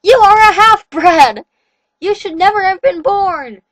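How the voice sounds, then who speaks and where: Crazed ranting werewolf king, a lady doing him, high and yelly, dry close sound. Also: dry recording. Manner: ranting, yelling, slow emphatic. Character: unhinged shrieking male werewolf king.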